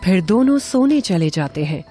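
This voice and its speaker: A woman narrator reading a scripted animated horror story. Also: lowish voice, moderate pace, faint music behind.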